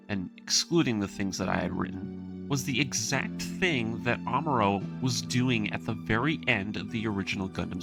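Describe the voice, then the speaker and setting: A man's voice: mid-range voice, gravelly, fairly bright tone. A man narrating a scripted retelling and analysis as a video essayist, earnest and opinionated, with informal asides. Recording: music behind the voice. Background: background music.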